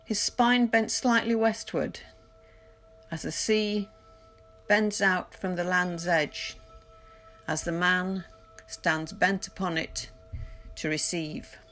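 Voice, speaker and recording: A woman reading poetry aloud in a measured, deliberate literary recitation, pausing between phrases. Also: fairly low pitch, steady tone, fairly bright tone. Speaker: poetry reader. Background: music.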